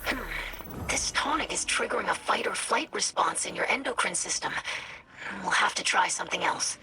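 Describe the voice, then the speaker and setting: A woman's voice: mid-range voice, expressive, normal speed, lightly acted. Game casting: actress as scholarly young female alien, mid-range voice, thoughtful and exact. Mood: earnest. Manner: thoughtful, precise wording. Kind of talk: video game dramatic dialogue. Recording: noisy game ambience behind voice.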